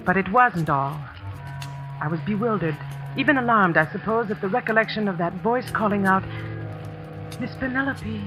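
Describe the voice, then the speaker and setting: An actress playing an earnest, anxious new schoolteacher in an old radio suspense drama, speaking with dramatic care. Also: female voice, mid-range voice, close to an everyday voice, poor audio. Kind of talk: 1940s-50s radio suspense narration and acting. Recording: vintage radio recording with music behind.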